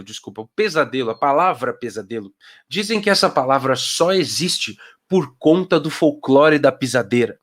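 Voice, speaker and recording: A man's voice: fairly high pitch, fairly bright tone. A man from São Paulo with a Paulista accent, talking casually on a live video call as a children's author, full of rhetorical questions. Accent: Paulista Brazilian Portuguese. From São Paulo, Brazil. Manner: conversational, asks rhetorical questions, briefly voices old folks.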